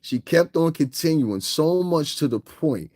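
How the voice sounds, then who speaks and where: A man's voice: unhurried, dry recording, studio quality. A man tells a story in a casual rant and acts out the other people's lines in it. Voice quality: deep voice. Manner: animated, acts out others' lines with big pitch jumps. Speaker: vlogger. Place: home in Connecticut.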